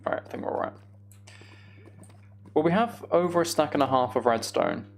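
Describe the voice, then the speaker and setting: A man's voice: a little high, expressive, brisk. A man giving casual, self-deprecating gameplay commentary, musing aloud as he goes. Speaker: gaming video host.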